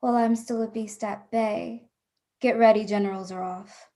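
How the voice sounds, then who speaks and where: An actress playing a hunted adventurer in a radio drama, telling of the escape with suspense and defiance. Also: female voice, mid-range voice, fairly even intonation, moderate pace, warm tone, close to an everyday voice. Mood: cool, defiant. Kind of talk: first-person radio drama narration.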